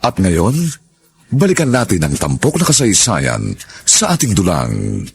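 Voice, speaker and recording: A man announcing a radio drama in a formal, measured style. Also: deep voice, huge pitch swings, vocal fry.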